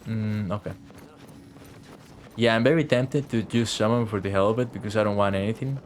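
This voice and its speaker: A man's voice: lowish voice, fairly even intonation, a little fast, slight background noise. A young man doing casual livestream commentary over a video game, rambling and thinking aloud. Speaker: game streamer. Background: game music.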